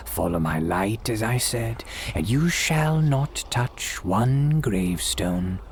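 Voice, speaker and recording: A man's voice: deep voice, a little hiss or hum, full character voice. A man playing a sinister old priest who hosts gothic horror tales, speaking in formal, archaic phrases with an ominous, theatrical delivery that dips into whispers. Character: sinister old graveyard priest, courtly, eerie host. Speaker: horror tale host. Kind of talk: theatrical gothic radio drama monologue.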